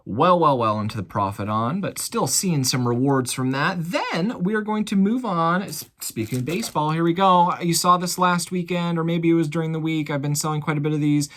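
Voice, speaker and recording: A man's voice: fairly high pitch, a bit bright. Chatty American man recapping online sales. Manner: folksy, chatty showman addressing viewers as folks. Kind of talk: casual vlog recap of items sold.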